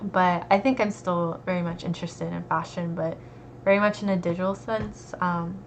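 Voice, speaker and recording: A young American woman, an art student, talking casually and relaxed, with lots of fillers. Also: fairly low pitch, normal speed. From United States. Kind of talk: casual conversation. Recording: dull lo-fi recording with faint noise.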